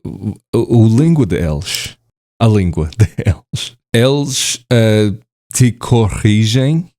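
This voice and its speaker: Slow, hesitant man, mellow low voice. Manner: hesitant, many fillers and self-corrections; laughs often at himself. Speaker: vlogger. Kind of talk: casual to-camera talk in a learned language.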